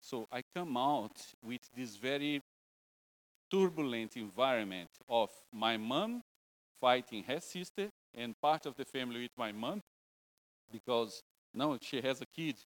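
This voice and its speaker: A male preacher from Brazil speaks with a Brazilian Portuguese accent, delivering a casual church sermon. His English is broken and halting, and he keeps the tone light with jokes at his own expense. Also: medium pitch, lively intonation, rough recording. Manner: joking, self-deprecating, broken English. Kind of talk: informal sermon.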